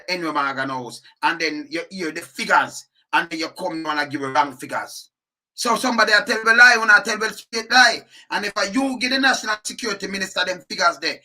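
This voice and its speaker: A Jamaican man in patois giving heated, repetitive commentary as the channel host. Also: fairly high pitch. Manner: animated, repetitive, indignant. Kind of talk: ranting commentary.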